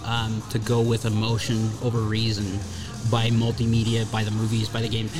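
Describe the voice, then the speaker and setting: A man's voice: lowish voice, monotone, moderate pace, over music. A man speaking on a talk radio show, earnest and explanatory, with frequent hesitant fillers. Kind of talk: casual talk radio conversation.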